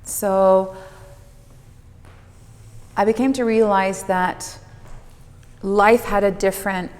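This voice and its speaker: A woman telling her personal story reflectively, unhurried and emphatic, with dramatic pauses. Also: medium pitch, steady tone, darkish tone. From Netherlands. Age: 40s. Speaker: fitness instructor and speaker. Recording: long reverberant hall, music underneath. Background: music.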